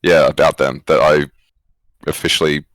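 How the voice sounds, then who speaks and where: A young Australian man with an extremely low voice talks in a casual interview. He is reflective and hesitant, rewording his thoughts as he goes, on a dry, close recording. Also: level tone, moderate pace, a bit bright, dry recording. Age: young adult. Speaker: esports coach, former pro player, guest.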